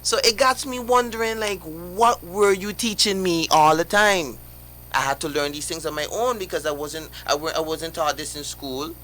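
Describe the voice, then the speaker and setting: A man's voice: a little high, moderate pace, sharp tone, a little hiss or hum, poor audio. A young man, a guest on a live radio interview, talking passionately in rhetorical questions with many restarts.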